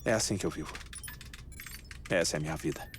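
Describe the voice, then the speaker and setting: A man with a Brazilian accent playing an eccentric, courteous, grieving scientist in a video game dub, speaking in a measured, articulate way. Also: a bass voice, slight background noise. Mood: matter-of-fact. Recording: music under the voice.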